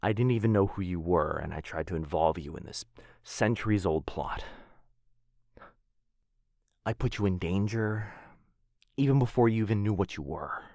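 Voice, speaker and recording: A man playing an apologetic, protective vampire lord in an intimate boyfriend ASMR roleplay, with a breathy, husky voice that often drops to a whisper and a hesitant, tender delivery. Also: sits low, normal speed, pauses often, darkish tone, dry recording, studio quality. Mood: remorseful, earnest. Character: apologetic, protective male vampire lord, soft-spoken and earnest.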